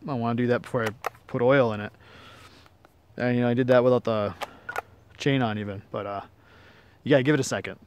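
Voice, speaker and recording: A man's voice: medium pitch, fairly even intonation, a little fast, long pauses, background noise. A man with a mid-range voice talks casually through a hands-on demonstration outdoors. He is plain-spoken and easygoing, feeling his way through sentences with some hesitation. The voice is picked up by a handheld camera in the open air. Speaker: amateur product demonstrator. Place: outdoors in woodland.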